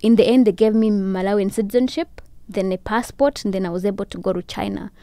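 A young woman from Rwanda, a podcast guest, telling her story in casual chat with soft laughs. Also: medium pitch, fast talker, no room sound. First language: Kinyarwanda. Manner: warm storytelling with soft laughs and many "you know"s. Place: podcast studio, indoors. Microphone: studio boom mic with headphones.

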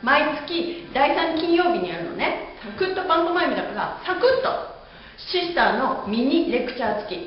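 A woman's voice: sits high, very animated, moderate pace. A woman in her thirties presenting a playful lesson to camera with a bubbly, girlish, theatrical delivery, recorded in a bare indoor room on a camera microphone. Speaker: host and pantomime instructor. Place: bare indoor room with curtained wall and hard floor. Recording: very echoey room, slightly dull audio.

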